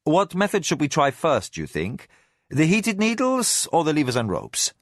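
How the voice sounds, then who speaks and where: A man's voice: on the high side, wildly swooping pitch, normal speed, no echo, clean studio sound, voice pushed up, full character voice. A man doing a grim, firm warrior. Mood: grimly mocking. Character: hard, grim male warrior.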